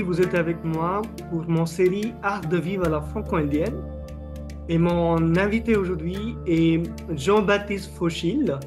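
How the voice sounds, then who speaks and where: A man hosting an interview, speaking slowly and searching for his words. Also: sits high. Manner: slow, halting, searching for words. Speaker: host, interviewer. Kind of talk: interview host.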